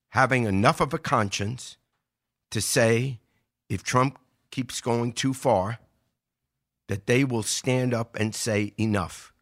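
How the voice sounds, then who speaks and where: A man's voice: medium pitch, a bit bright. A man giving earnest, emphatic political commentary as a talk show regular, repeating his key points. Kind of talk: political commentary before a live audience.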